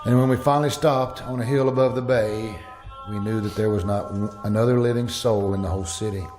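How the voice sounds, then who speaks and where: A man's voice: lowish voice, fairly even intonation, fairly quick, close to an everyday voice. Man acting anxious plague survivor in radio thriller. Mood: grave narration. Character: Jim, anxious male plague survivor narrating his ordeal. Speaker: actor and narrator in a live radio play. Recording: live stage recording with music.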